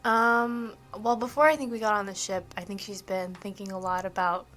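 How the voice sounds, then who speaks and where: A woman's voice: medium pitch, steady tone, moderate pace, fairly bright tone. A woman chatting casually as a player in a tabletop role-playing game.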